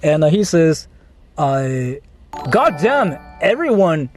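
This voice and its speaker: A man's voice: on the high side, very animated, slow pace, soft background music. A man from Japan chatting casually with livestream viewers, rambling and repeating himself, with some swearing. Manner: rambling, repetitive, profane. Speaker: livestream host.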